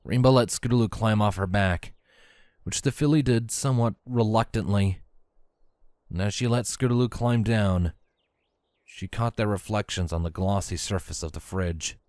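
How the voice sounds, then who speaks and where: A man's voice: lowish voice, fairly even intonation, normal speed, darkish tone, dry recording, clean studio sound. A man reading scripted story narration as the narrator of a fan fiction tale.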